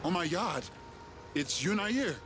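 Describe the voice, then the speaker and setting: An actor playing an excitable, keen alien tinkerer in a video game cutscene. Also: male voice, high voice, takes it slowly, soft background music, exaggerated voice. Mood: amazed.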